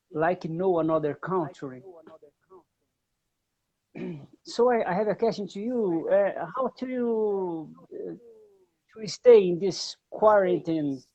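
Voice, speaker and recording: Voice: mid-range voice, a little mellow, dry recording. Host in broken English, dull audio. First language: not English. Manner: broken English, repeats words. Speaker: interview host. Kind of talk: casual interview conversation in English.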